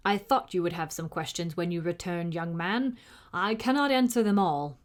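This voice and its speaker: A woman's voice: lowish voice, normal speed, close to an everyday voice. A woman voicing a proud, formal, easily indignant tiny knight in a storybook, with old-fashioned dignified speech. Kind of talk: storybook character reading.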